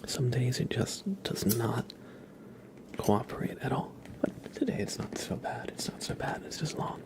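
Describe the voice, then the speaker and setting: A man hosting an ASMR livestream, speaking in soft whispers with a gentle, playful manner. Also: medium pitch, very animated, measured pace. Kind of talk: ASMR, whispered roleplay and chat with viewers.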